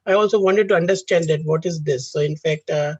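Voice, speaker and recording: A man hosts an online session in a husky voice pitched high for a man. He rambles and gushes as he speaks. The audio is dull and lo-fi, close and dry over a video call. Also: brisk, a little mellow, no room sound. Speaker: faculty host.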